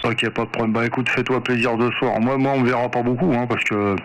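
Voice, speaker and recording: A man's voice: mid-range voice, little pitch movement, fairly quick. A man speaking as an amateur radio operator in a casual, hesitant, rambling chat over a two-way radio. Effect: two-way radio transmission. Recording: noisy radio link with clicks.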